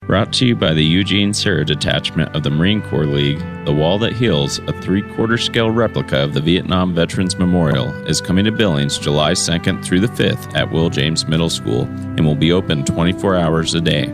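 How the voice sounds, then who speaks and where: A man reading a community service announcement in an earnest, measured tone. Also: deep voice, over music.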